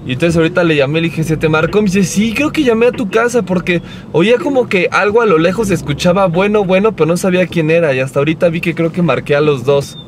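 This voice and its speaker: A young Mexican man chatting casually to his vlog in a playful, animated storytelling way while driving. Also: sits high, fast talker. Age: young adult. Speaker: vlogger. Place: inside a moving car. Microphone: camera mounted on the dashboard. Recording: car cabin with clear road noise.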